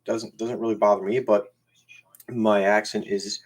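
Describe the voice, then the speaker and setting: A man with a strong Newfoundland accent, hosting a casual live stream and chatting in a halting way, often repeating words and restarting. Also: fairly low pitch, flat delivery. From Newfoundland, Canada. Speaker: YouTube channel host. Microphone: camera used as webcam.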